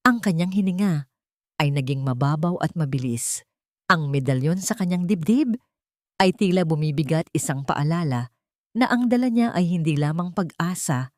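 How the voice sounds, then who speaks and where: A woman's voice: low voice, darkish tone, no room sound, clean studio sound. A woman storyteller reading a scripted story in a dramatic, suspenseful manner. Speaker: storyteller, narrator.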